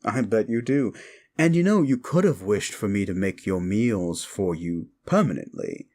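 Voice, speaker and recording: A man's voice: on the low side, lively intonation, normal speed, dark tone. A man playing a sly, evil demon who taunts and manipulates in an intimate ASMR roleplay, teasing and condescending. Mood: teasing, smug.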